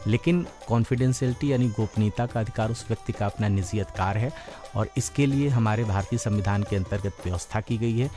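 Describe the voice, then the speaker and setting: An Indian man giving an explanatory awareness talk in a formal, measured manner, repeating phrases for emphasis. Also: fairly low pitch, over music.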